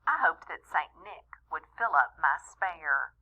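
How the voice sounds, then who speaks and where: A woman reading a rhyming children's story aloud in an expressive, deliberate way, as a storyteller talking to young listeners. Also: low-pitched, narrow, tinny audio. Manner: animated, deliberate, with small asides to young listeners.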